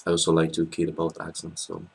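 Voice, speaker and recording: Deep, gravelly French-accented young man. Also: monotone, fast talker, darkish tone. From France. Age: 20s. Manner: hesitant, many ums and restarts, joking. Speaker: guest. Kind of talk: casual interview chat. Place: small indoor room. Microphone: laptop webcam.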